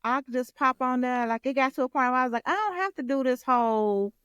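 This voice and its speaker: An American woman co-hosting a podcast in casual, slangy girl talk with a playful, expressive manner. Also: sits high, fast talker, clean tone, no room sound, clean studio sound. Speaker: podcast co-host and content creator.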